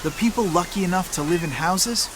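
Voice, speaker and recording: A man's voice: high voice, a little fast, noisy background, natural voice. A man playing a cocky, plucky teenage boy with a breezy, self-assured manner in a video game cutscene. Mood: casual reassurance.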